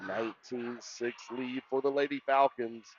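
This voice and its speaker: A man with a loud voice doing live play-by-play volleyball commentary in an excitable, folksy way. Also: mid-range voice, normal speed, dull audio, slight background noise. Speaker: sports play-by-play announcer.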